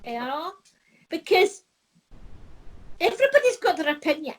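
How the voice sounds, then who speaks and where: Woman, middle-aged, high and lively voice, chatty with frequent laughs. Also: moderate pace. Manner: chatty, laughs often, repeats herself. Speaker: co-host. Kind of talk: casual chat.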